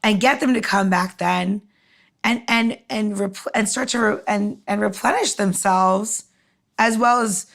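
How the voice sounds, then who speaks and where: Female podcast host, mid-pitched with creak, conversational, thinks aloud in rambling questions. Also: measured pace, fairly bright tone. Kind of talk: casual chat interview.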